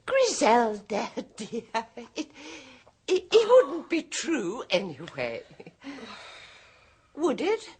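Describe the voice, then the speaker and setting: An actress playing a timid, gentle sister who speaks in a fragile, hesitant, tearful way in a radio drama. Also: female voice, a little high, huge pitch swings, drawn-out words, long pauses, husky, full character voice. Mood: pleading. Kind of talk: 1970s radio drama acting.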